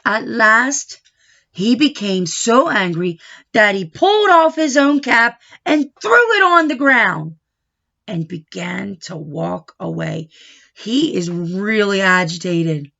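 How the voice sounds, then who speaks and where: A woman reading a picture book aloud to children, expressive and coaxing, pausing to ask them questions, on a dull home recording. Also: mid-range voice, gravelly. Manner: expressive, coaxing, pauses to question and prompt young listeners. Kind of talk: animated children's read-aloud with questions to the listeners.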